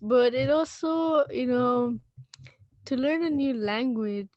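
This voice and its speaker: A woman's voice: sits high, slow, no room sound, highs cut off. A woman whose first language is Spanish, a laid-back podcast guest who repeats words as she gets started. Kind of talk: casual podcast chat.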